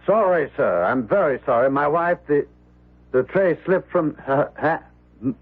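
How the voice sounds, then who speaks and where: An actor playing a deferential, devoted manservant in a radio drama, formal, polite and anxious. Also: male voice, a little high, expressive, measured pace, breathy edge, heavily acted. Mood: distraught. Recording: thin, phone-like radio drama audio.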